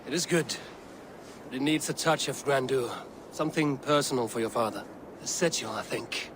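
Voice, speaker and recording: Male actor as Viking warrior, calm, dutiful, mid-pitched, video game drama, noisy outdoor backdrop. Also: normal speed, lightly acted. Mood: measured, curious. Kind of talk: video game cutscene acting.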